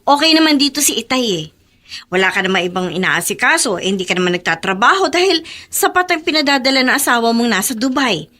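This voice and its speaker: A woman's voice: a little high, very animated, fast talker, bright, forceful tone. An actress playing a busy, defensive younger sister in a radio drama, protesting as she speaks.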